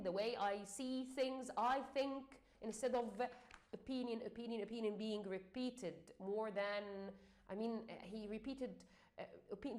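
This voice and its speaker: Egyptian-accented woman teacher, slightly high voice, halting explanatory delivery. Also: darkish tone. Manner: hesitant, many fillers, repeats and restarts. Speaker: English exam teacher.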